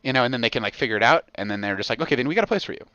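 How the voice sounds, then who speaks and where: An American man hosting a casual comedic podcast, talking in an excitable, profane way with punchy repetition. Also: mid-range voice, rapid-fire, no room sound, highs cut off. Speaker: podcast host.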